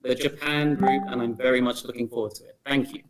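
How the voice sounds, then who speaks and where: A man's voice: medium pitch, steady tone, fast, a crowd in the background, poor audio. A man, a teacher and coach, introducing himself in a friendly, joking way on a video call. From England.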